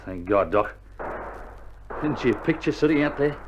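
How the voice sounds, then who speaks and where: An actor playing a sick Australian soldier, an anxious but loyal mate with blunt, colloquial speech, in a dramatised wartime radio play. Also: male voice, medium pitch, expressive, a little slow, muffled recording, noisy background, close to an everyday voice. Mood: uneasy. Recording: old vinyl radio drama, prominent music behind.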